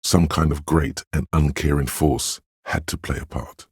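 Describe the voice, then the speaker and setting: A man voicing a game's inner voice of empathy, a gentle, perceptive, sorrowful narrator who speaks in a measured, solemn, intimate way. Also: a bass voice, level tone, husky, dry recording, studio quality, heavily acted. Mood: gentle, observant. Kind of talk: scripted second-person game narration.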